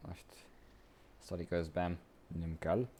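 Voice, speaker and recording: A man's voice: deep voice, fairly even intonation, slow pace. A Hungarian man giving casual commentary as he plays through a video game. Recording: game music behind the voice. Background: game music.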